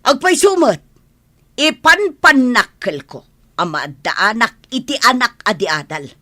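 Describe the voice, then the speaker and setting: A woman's voice: on the high side, big pitch swings, unhurried, bright, forceful tone, heavily acted. A woman with an Ilocano accent acting in a radio drama as a scolding, bitterly disappointed village mother. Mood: bitter reproach. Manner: scolding, exclamatory, lamenting.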